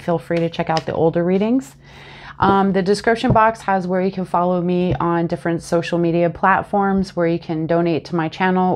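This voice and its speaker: A woman's voice: fairly low pitch, slight background noise. A woman in her thirties, a bit congested and sniffly, chatting casually through a tarot reading to camera on a lapel mic in a small room at home. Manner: chatty and animated, talks with her hands. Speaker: tarot reader.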